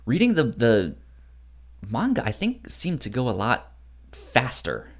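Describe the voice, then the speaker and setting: A podcast co-host in casual conversation. The delivery is unhurried and halting, with sentences that get rephrased along the way, while the pitch swings in an animated way. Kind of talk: casual book discussion chat.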